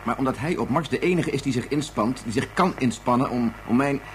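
A man's voice: medium pitch, a little fast, a little hiss or hum, close to an everyday voice. A man acting an anxious, desperate father in a radio play. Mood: desperate, pleading. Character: anxious father desperate to find his lost son.

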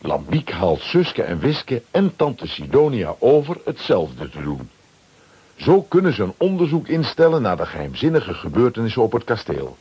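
A man narrating a children's radio play as a scripted storyteller, with a very husky voice. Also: on the low side, huge pitch swings, normal speed, muffled recording, exaggerated voice.

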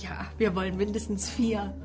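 An actress playing a chatty, cheerfully curious pregnant wife making small talk in a radio drama. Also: female voice, medium pitch, little pitch movement, moderate pace, lightly acted. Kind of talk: naturalistic radio drama acting. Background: music.

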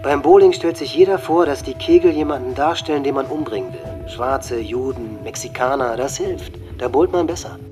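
A man's voice: mid-range voice, normal speed, hardly any pauses, over music, natural voice. An actor playing a coldly menacing, boastful teenage male school shooter in a documentary radio drama. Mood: hateful.

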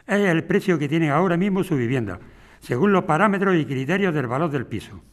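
A man with an accent from Spain playing a smooth, unyielding property company agent in an audio drama, formal, measured and condescending. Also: fairly high pitch, animated, close to an everyday voice.

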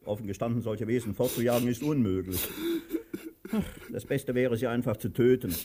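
An actor playing a cruel, pompous master of about sixty in a radio drama, declaiming theatrically and swinging between grandeur and self-pitying whining. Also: male voice, medium pitch, steady tone, moderate pace, warm tone, husky, close to an everyday voice. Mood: haughty, mocking. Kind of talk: radio drama stage acting.

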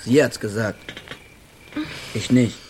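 A man's voice: medium pitch, takes it slowly, a little hiss or hum, close to an everyday voice. An actor playing a poor, stubborn young backwoods man in a radio drama, answering in cold, terse phrases. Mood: sullen, dismissive. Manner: terse, flat refusals.

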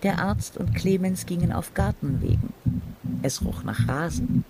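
Gravelly male narrator, higher-pitched. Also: expressive, unhurried, dark tone, a little hiss or hum, poor audio. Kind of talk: literary scripted narration for radio drama.

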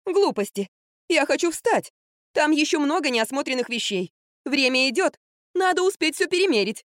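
A woman's voice: on the high side, big pitch swings, normal speed, no room sound, clean studio sound, voice pushed up. A woman voicing a bossy, spiteful young actress in an audiobook, snapping and whining. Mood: impatient.

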